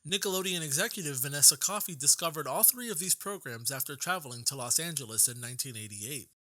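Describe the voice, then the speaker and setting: A man's voice: a little high, pushed and bright, no echo. A man reading scripted narration for a documentary-style video essay as its narrator.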